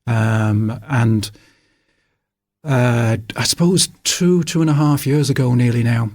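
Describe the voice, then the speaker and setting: An older man with a Manchester accent is interviewed as a guest author in a radio studio. His mid-pitched voice sounds dry and close, with no room echo. He talks in a relaxed, rambling way, often pausing on fillers. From England.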